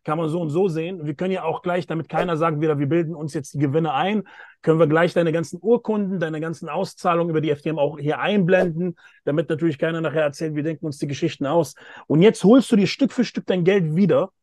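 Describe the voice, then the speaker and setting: Male host, 30s, video call, fairly high voice, emphatic and repetitive. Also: fast, darkish tone, no room sound, clean studio sound. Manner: emphatic, repeats phrases for stress. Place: outdoors by a pool with greenery. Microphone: phone or laptop camera, video call.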